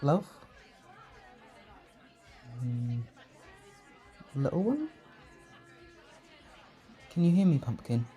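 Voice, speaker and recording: A man playing a gentle, protective caretaker in a roleplay comfort audio, speaking in a soft, reassuring, tender way. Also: medium pitch, very animated, extremely slow, pauses often. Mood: tender, soothing. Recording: music and party chatter behind.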